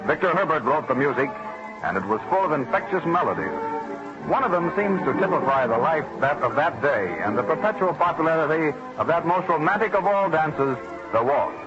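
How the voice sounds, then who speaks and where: An American man narrates in old-time radio style, with a dramatic, declamatory delivery. His fairly high voice swings widely in pitch, on a dull vintage recording with music playing behind him. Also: big pitch swings, fairly quick.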